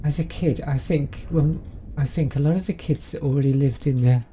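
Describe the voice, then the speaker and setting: A woman's voice: low voice, moderate pace, mellow, slight background noise. A woman with a Southern English accent chatting casually as she plays a game, rambling with plenty of ums. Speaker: game streamer. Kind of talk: casual let's-play commentary.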